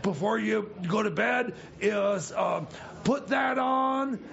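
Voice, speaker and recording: A man's voice: high voice, slow, gravelly, full character voice. A man playing an evasive, flustered sidekick in improvised comedy radio theater, stammering and rambling as he dodges. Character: evasive, flustered sidekick visiting a jailed friend. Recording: dull lo-fi radio sound over loud music.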